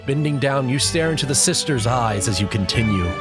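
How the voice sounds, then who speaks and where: A mid-pitched narrator delivers scripted storybook prose over light background music. The reading is addressed to the listener in the second person, as in an audio fanfic. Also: expressive, a little fast. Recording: music behind the voice.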